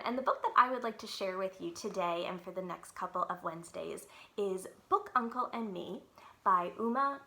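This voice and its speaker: A woman reading a children's book aloud in an expressive, animated storytelling manner, with clear enunciation. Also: mid-range voice.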